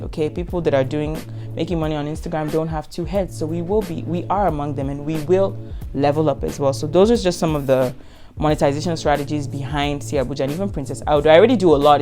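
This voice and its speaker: Fast-talking Nigerian woman in her twenties. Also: deep voice, darkish tone. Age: 20s. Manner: frank and emphatic, repeats words for emphasis. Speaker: content creator. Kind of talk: casual Q&A vlog.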